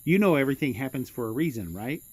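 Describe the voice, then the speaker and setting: An actor playing an eerie, knowing young stranger in a horror radio drama, husky and insinuating. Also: male voice, mid-range voice, sing-song, fast talker. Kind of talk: audio horror drama acting.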